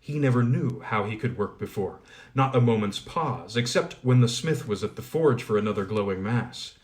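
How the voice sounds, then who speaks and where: A man narrating a fairy tale aloud in a measured, expressive reading. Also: fairly low pitch. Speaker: audiobook reader. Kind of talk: storybook narration.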